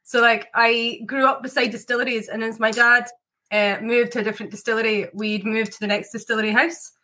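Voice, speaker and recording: Woman from Scotland, bright tone, slightly high pitch, chatty, frequent laughs, video call. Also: flat delivery, brisk. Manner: chatty, lots of "like" fillers, laughs often. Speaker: whiskey maker and distiller. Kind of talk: casual interview chat.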